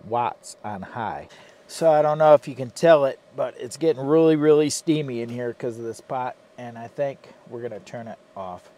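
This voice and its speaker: Quiet man muttering inside a car. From Michigan. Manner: low-key, quiet, half-muttered asides. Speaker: vlogger. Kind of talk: casual vlog commentary. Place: inside a parked car, cold and windy outside. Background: faint wind outside.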